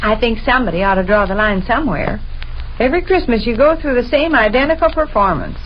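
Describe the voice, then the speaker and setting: Vintage radio comedy: penny-pinching, nagging American homebody wife, played by a woman, fairly high voice, dull hissy archive sound. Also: animated, fast talker, natural voice. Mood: skeptical, scolding. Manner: folksy, plain-spoken, challenging. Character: Sade, thrifty, nagging, folksy homebody wife. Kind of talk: 1930s radio comedy acting. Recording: dull, noisy 1930s radio recording.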